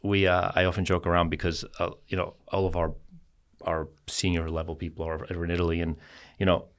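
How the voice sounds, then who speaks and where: A man's voice: deep voice, little pitch movement, a little fast. A man from Greece, a business owner and podcast guest, talking in a rambling, casual way and often repeating words. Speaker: scooter dealership chief executive, guest.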